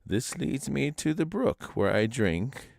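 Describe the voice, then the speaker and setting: A man voicing an old bent grandfather in a storybook legend, sly, tremulous and deliberate. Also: medium pitch, animated, gravelly, a little mellow, no room sound, studio quality, voice pushed up, exaggerated voice. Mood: explaining.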